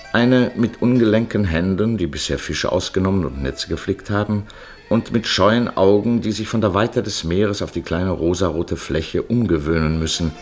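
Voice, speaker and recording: A man with a very low voice reads literary narration for a radio drama. His delivery is measured and solemn, over faint plucked music.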